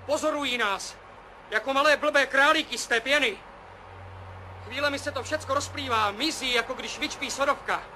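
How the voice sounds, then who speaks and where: An actor playing an awed, rambling test pilot in a 1970s radio play, musing in halting short phrases. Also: male voice, very high-pitched, bright, forceful tone, heavily acted. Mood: uneasy awe. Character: male test pilot, awed, rambling, seeing strange visions. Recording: noisy 1970s radio drama, engine drone.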